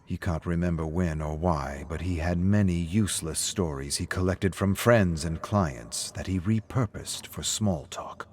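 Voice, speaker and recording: A man's voice: sits low, a little mellow. A man reading scripted story narration.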